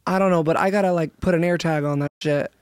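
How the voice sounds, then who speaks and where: A hoarse podcast co-host in casual chat, joking and rambling with lots of slang. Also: female voice, fairly low pitch, fairly even intonation, fast, a little mellow, dry recording, clean studio sound.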